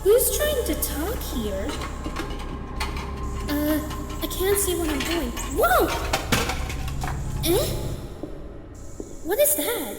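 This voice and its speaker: A jumpy young worker with a very high voice whose pitch swings wildly. She talks slowly and reacts with startled swearing. The sound is echoey with a long reverb and music behind. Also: wildly swooping pitch, heavily acted.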